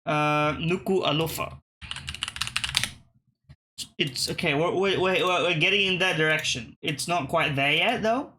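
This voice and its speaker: A man's voice: fairly high pitch, unhurried, long pauses, background noise. A young man casually thinking aloud and swearing while playing geography web games. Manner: thinking aloud, profane, muttering and restarting. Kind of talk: casual gameplay commentary.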